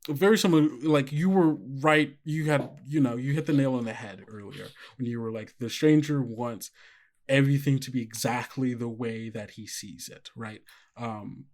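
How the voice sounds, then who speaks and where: A man's voice: medium pitch, moderate pace, darkish tone. A man speaking casually and agreeably as the game master of a tabletop roleplaying game. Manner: agreeable, conversational, often affirming players.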